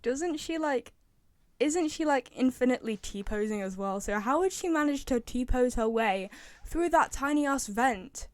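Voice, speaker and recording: A woman's voice: high-pitched, animated, measured pace, no room sound. A woman chatting casually and giving opinions as a fan commentator, rambling and repeating herself for emphasis. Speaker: fan commentator ranking game voice lines.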